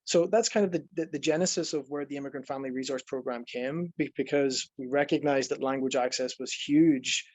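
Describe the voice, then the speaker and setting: A man's voice: medium pitch, brisk, hardly any pauses, darkish tone, no room sound. A man from Ireland, presenting as a nonprofit program director in a webinar, earnest and explanatory, with frequent fillers and restarts.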